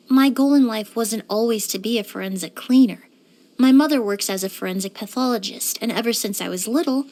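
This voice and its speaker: A woman playing a courteous, composed young woman in a video game, speaking politely and earnestly with careful, measured wording. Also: fairly high pitch, natural voice. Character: poised, courteous young woman perfumer and forensic cleaner. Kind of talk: scripted video game character voice lines.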